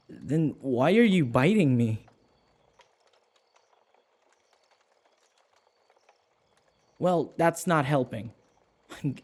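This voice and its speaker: Man playing a nervous, stammering submissive servant, slow-paced. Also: a little high, darkish tone. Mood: wary, questioning. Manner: hesitant, stammering, anxious, with sighs and muttered asides. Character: nervous submissive human servant held captive by a vampire mistress. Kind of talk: audio roleplay acting, spoken to the listener.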